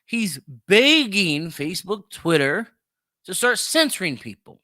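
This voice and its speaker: A man's voice: high-pitched, very animated, measured pace, bright, forceful tone, no room sound, clean studio sound. A man giving opinionated solo commentary as a podcast host, emphatic and repeating phrases for stress.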